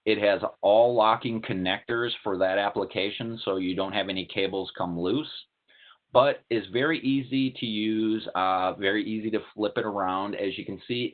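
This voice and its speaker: A man giving a technical product presentation in a plain, methodical, explanatory way, as a webinar presenter. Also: on the low side. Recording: tinny narrowband call-like audio.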